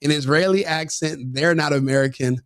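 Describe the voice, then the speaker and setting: A man with a somewhat high voice sneers and jeers through crude reaction commentary, laughing as he goes. The sound is dry and close, with no room around him. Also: normal speed, no room sound.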